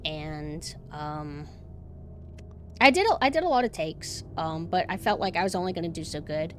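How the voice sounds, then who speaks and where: A woman streamer with a monotone voice, chatting casually and rambling with lots of fillers and restarts. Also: sits low, moderate pace, slight background noise. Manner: rambling, self-deprecating, many fillers and restarts. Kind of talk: casual chat.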